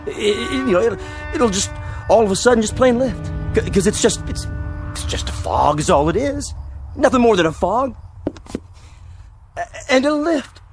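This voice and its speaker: A man's voice: very high-pitched, expressive, moderate pace, pauses often, music in the background. A stammering, anxious townsman. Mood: nervous. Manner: rambling, stammering reassurance. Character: nervous townsman insisting the fog will lift.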